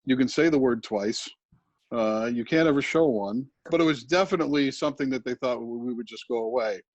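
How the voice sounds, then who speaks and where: An American man with a mid-pitched voice, recounting things casually. The recording is dry and slightly muffled, like a lo-fi call. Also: brisk, no echo. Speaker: television writer. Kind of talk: casual anecdote telling. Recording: dull, dry lo-fi call audio.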